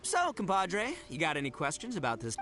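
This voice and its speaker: An actor playing a brash, boastful, hot-blooded young gang boss in a video game, with a slangy, theatrical delivery. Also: male voice, on the high side, wildly swooping pitch, a little fast, over music. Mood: boastful, fired up. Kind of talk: video game character acting.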